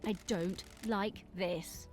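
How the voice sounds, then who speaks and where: A woman's voice: mid-range voice, steady tone, extremely slow, a little mellow. An actress playing a woman investigator in a stylized mystery video game, in short voice lines under music. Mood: uneasy.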